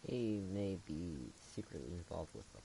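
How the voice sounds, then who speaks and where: A man's voice: low-pitched, lively intonation, moderate pace, gravelly, mellow, a little hiss or hum, rough recording. A man giving casual commentary while playing through a video game, reading along as he goes. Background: game music.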